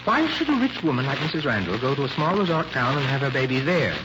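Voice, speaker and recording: A man's voice: mid-range voice, sing-song, quick pace, lo-fi sound, natural voice. An actor playing a skeptical, fretful man with a questioning, agitated manner in 1940s radio drama. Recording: very noisy old radio recording.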